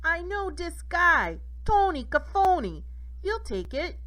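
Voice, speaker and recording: A woman voicing a brisk male garbage boss who gives breezy orders in a storybook. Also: extremely high pitch, sing-song, bright, forceful tone, voice pushed up, exaggerated voice.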